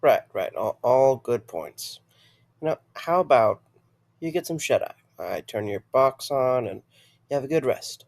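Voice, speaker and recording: Audio drama lead , male actor: wry, tired young man, mid-pitched with fry, expressive, rambling and easygoing. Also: unhurried. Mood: placating.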